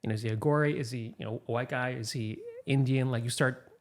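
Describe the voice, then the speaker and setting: A man's voice: medium pitch, steady tone, fast, slight background noise. A man hosting a casual podcast chat, telling stories and joking with his cohosts. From United States. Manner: laughs while telling stories, jokes with cohosts. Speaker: podcast host.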